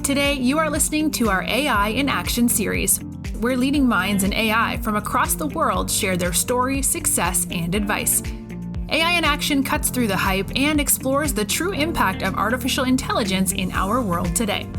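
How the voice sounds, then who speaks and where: A woman reading a scripted podcast introduction in a smooth promotional tone. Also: fairly high pitch, expressive, normal speed. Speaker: show announcer. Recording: voice over loud music bed.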